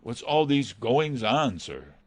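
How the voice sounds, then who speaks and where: A man's voice: medium pitch, very animated, takes it slowly, no echo. A man playing an anxious, deferential butler in a radio mystery drama, earnest and hesitant. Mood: agitated. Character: anxious male butler, deferential, dreading villainy.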